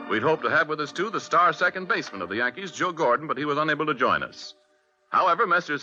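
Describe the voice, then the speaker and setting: A man's voice: on the high side, pushed and bright. A man announcing formally and emphatically in the style of a 1940s radio broadcast. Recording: dull old radio broadcast, light music.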